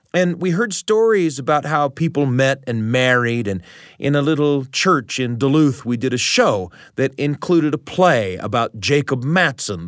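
A middle-aged American man in a storyteller's role, speaking with a very lively, swooping pitch. His phrasing is deliberate and literary, leaning on dramatic repetition. The sound is dry and close, like a radio narration. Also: dry recording. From United States. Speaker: radio show host and storyteller.

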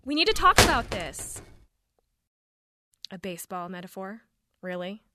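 A woman's voice: mid-range voice, very animated, a little slow, very noisy. A woman playing a concerned wife and mother in an audio drama. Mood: worried, questioning.

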